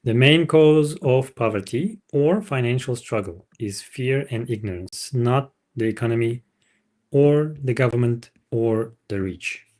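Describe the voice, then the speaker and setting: Male book reader for a livestream, medium pitch, narration with informal asides. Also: measured pace, darkish tone. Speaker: livestream host reading a book aloud.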